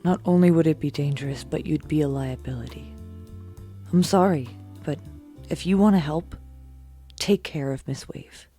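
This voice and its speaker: An actress playing a guarded, weary young vigilante superhero in an audio drama, speaking in a subdued, deliberate way. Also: female voice, low-pitched, dark tone, soft background music. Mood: firm, sorrowful.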